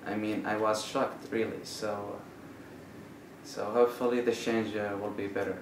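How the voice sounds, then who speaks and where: Halting young man from Saudi Arabia. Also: fairly low pitch, level tone. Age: young adult. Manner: halting, many fillers and restarts. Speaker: international student. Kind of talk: interview answers. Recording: roomy, distant mic with faint noise.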